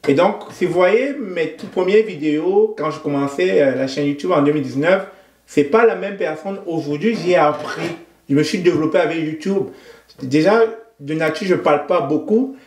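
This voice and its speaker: A Cameroonian man, a guest in an interview, talking in a measured, thoughtful way and often pausing to find his words. His voice sits a little high. The room gives his voice a noticeable echo. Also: a little mellow. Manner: thoughtful, measured, with frequent hesitations. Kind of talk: conversational interview.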